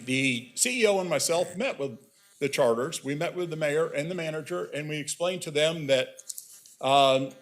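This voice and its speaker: Loud plainspoken man chairing a meeting. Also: on the high side, normal speed, faint music behind. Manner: loud, plainspoken, with frequent fillers. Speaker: board chair. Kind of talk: public meeting remarks. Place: public meeting room. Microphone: table microphone.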